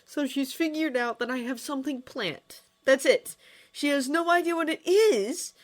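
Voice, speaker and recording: A woman's voice: high voice, moderate pace, pitched above the performer's own voice, natural voice. A woman voicing an earnest, awkward young man who rides dragons, speaking hesitantly in a story reading. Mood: resigned.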